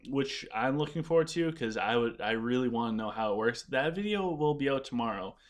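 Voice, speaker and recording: Casual gaming streamer, voice going hoarse. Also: male voice, mid-range voice. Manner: chatty, rambling, frequent restarts while playing. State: losing his voice. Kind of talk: casual live-stream commentary.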